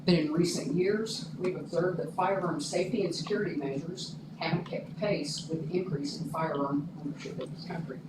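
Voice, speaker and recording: Woman reading a formal statement at a meeting. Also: sits low. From Oklahoma. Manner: formal, reads a prepared statement. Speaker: public commenter. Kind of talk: prepared public statement. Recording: echoey room, distant mic, noisy.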